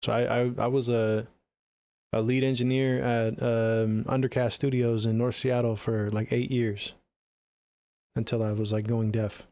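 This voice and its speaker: A man's voice: mid-range voice, level tone, a little slow, dark tone, no echo. A middle-aged man with an American accent chatting casually on a livestream in a laid-back, slangy way, close to the mic. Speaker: music production livestreamer. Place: small home studio room, indoors.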